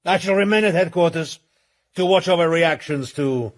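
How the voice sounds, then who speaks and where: An actor playing a commanding criminal mastermind in a radio drama, husky and gravelly, speaking imperiously. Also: male voice, on the high side, close to an everyday voice. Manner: slow, imperious. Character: commanding male criminal mastermind, deliberate and controlled.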